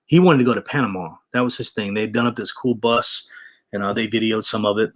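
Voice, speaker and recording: A man's voice: lowish voice, brisk, a bit bright. Man, 40s, vlogger, casual chat, rambling, repetitive, laid-back talk. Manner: rambling, repeats words, says "man" and "you know". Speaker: vlogger reading viewer comments.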